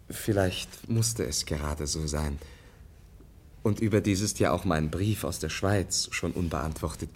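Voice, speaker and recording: An actor playing a gentle, naive young Russian prince in a 1950s radio drama, speaking with formal courtesy and some hesitation. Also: male voice, low-pitched. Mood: resigned, candid. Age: young adult.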